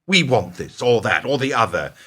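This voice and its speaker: A man's voice: mid-range voice, sing-song, normal speed, bright, forceful tone. A man playing a defensive, hard-nosed older company boss in a radio play, arguing emphatically. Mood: indignant. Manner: argumentative, exasperated, emphatic. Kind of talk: radio play acting, dramatic dialogue.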